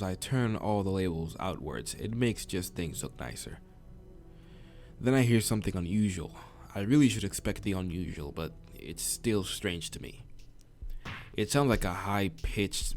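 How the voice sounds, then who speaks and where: A man narrating a visual novel as a let's player, informal, sometimes stumbling over the text and restarting. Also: deep voice. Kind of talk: story narration with casual asides. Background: game soundtrack music.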